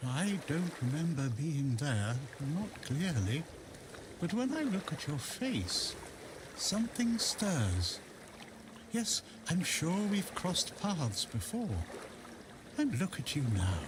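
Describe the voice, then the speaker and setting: Slow, musing old man. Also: on the high side, background noise. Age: older. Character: wistful old hermit waiting by the sea. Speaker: non-player character in a fantasy RPG. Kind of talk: fantasy video game dialogue. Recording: water ambience behind the voice.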